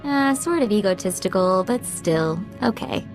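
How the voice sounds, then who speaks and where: A woman voicing a sassy, street-smart young sidekick in a video game, informal, expressive and sarcastic. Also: medium pitch, a little slow, over music. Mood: awkwardly warm.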